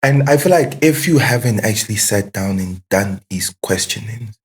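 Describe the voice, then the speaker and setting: A man's voice: lowish voice, lively intonation, normal speed. A man speaking as a guest in a casual, reflective conversation, questioning emphatically and repeating phrases to drive a point home. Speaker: podcast guest.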